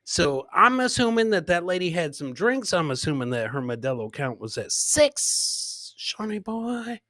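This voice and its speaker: A man hosting a live comedy podcast, casually reacting to funny clips in a rambling, jokey, animated way and repeating his catchphrases. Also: high voice, unhurried, no echo, studio quality.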